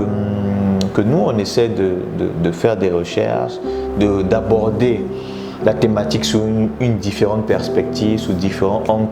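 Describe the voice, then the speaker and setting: A man from Congo, a public speaking coach hosting a live stream, teaching in numbered points. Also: fairly low pitch. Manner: teaching in numbered points, urging viewers to share. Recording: echoey room with loud guitar music behind. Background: loud guitar music.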